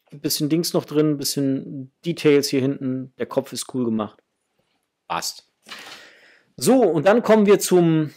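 A middle-aged man with a husky voice, chatting casually and enthusiastically to viewers as he hosts an unboxing, sometimes muttering to himself. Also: on the high side, darkish tone. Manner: enthusiastic, exclamatory, muttering to himself while handling things. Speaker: host of a toy unboxing channel. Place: small indoor hobby room.

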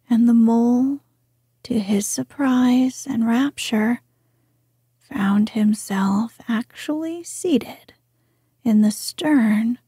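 A woman reads a classic storybook in a hushed, very breathy whisper. Her pace is very slow and measured, and her tone is dark and mellow. The sound is close and dry. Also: a little high, no echo. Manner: soft whisper, gentle and measured.

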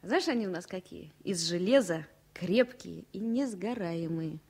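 An actress playing a young, loving and resolute flight attendant in a 1970s Soviet radio play, speaking in a soft, coaxing way. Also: female voice, fairly low pitch, lively intonation, unhurried, breathy edge, heavily acted. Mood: affectionate, reassuring.

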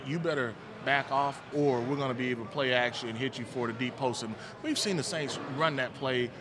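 A man in his forties, a former player, giving relaxed postgame football analysis into a handheld mic on a studio set. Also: medium pitch, brisk, noisy background. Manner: relaxed, thoughtful, many "you know" fillers. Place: studio set with team backdrop, indoors. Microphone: handheld microphone.

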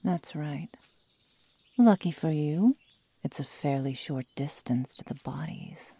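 A woman playing a sadistic young werewolf girl in an ASMR roleplay, coldly teasing and menacing in soft, breathy, often whispered speech close to the microphone. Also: deep voice, animated, no echo, full character voice. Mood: falsely tender. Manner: slow, soft, mock-tender menace. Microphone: close microphone, dry sound.

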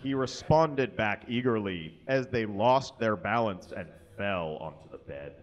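A man's voice: on the low side, expressive, measured pace, dull audio. A man in his thirties reading a story aloud to an audience into a microphone on a small stage. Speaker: reader at a live comedy show. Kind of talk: dramatic reading of fan fiction to an audience. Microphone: handheld or stand microphone.

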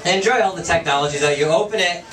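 A fairly high-voiced young man works a casual stand-up set, slipping into quick little character asides. He is picked up from across an echoey bar room. A lively crowd laughs around him. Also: recorded from a distance, very noisy. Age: young adult. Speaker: stand-up comedian. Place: small bar stage with audience. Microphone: handheld mic, far camera.

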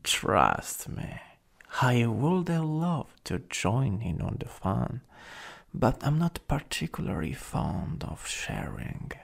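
Man playing a creaky Italian-accented jealous supervillain. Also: medium pitch, very animated, slow pace, exaggerated voice. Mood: jealous, needling. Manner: teasing, drawling, part-whispered. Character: jealous, taunting, possessive male supervillain. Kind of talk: ASMR roleplay.

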